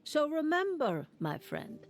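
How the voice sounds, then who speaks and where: A woman playing a serene, cryptic seer in a fantasy game, speaking with deliberate portent. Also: high-pitched, big pitch swings, faint music behind, exaggerated voice. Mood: serene, portentous. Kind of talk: dramatic game voice acting.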